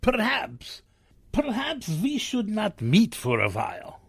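An actor playing a gruff, wary German-American man in a 1940s-style radio drama, with a raspy, creaky voice. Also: sits high, wildly swooping pitch, slow, a bit bright, no room sound, exaggerated voice. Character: gruff, wary male member of secret German-American circle.

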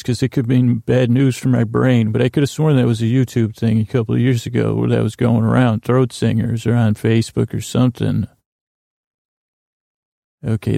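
A man's voice: mid-range voice, gravelly, dark tone, dry recording, clean studio sound. A man hosting a bedtime podcast, meandering whimsically through a soothing monologue meant to lull listeners to sleep. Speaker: podcast host.

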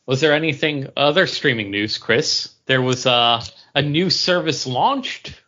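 Guy podcast host just chatting, bright forward voice, kinda dull lo-fi recording. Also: mid-range voice, lively intonation, unhurried. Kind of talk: casual pop culture podcast chat.

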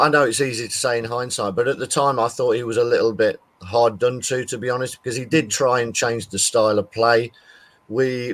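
A man co-hosting a casual chat, giving earnest, measured opinions. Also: mid-range voice. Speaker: co-host.

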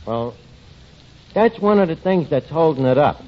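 A man's voice: a little high, expressive, normal speed, natural voice. An actor playing a glib, scheming, self-important tavern manager who mangles his grammar, in 1940s radio comedy style. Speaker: star of a radio situation comedy. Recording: noisy muffled 1940s radio recording.

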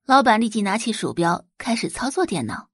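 A woman's voice: medium pitch, very animated, a little fast, fairly bright tone, husky, dry recording, studio quality. A woman reading scripted, dramatic story narration for an audio drama.

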